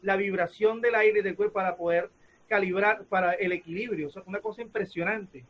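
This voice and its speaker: High-voiced man, slightly muffled. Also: steady tone. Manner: halting, searches for words. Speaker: theater practitioner and panelist. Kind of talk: casual panel discussion.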